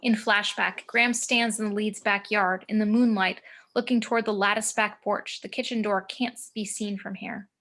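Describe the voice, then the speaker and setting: Woman narrating screenplay directions, mid-pitched. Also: steady tone, moderate pace, few pauses, a bit bright. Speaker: narrator reading stage directions. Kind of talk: screenplay table read narration. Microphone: video call.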